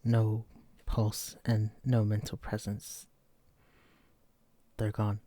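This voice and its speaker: A man's voice: lowish voice, completely flat delivery, extremely slow, mellow, dry recording. Man acting a wary, protective psychic escapee. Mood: wary, somber. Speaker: voice actor in an audio drama. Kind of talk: immersive roleplay audio drama, addressing the listener.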